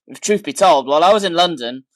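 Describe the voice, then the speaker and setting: A woman's voice: sits low, animated, fast. A woman playing a flippant, cheeky young man home from university. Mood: breezy. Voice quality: flippant, casual.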